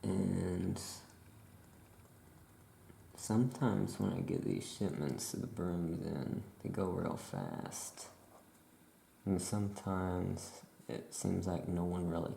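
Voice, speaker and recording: A very creaky, soft-spoken voice plays an easygoing witch shopkeeper in an ASMR roleplay. The delivery is slow and rambling, often trailing off. It sounds recorded in a roomy, echoing space. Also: sits low. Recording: roomy echo, faint background noise.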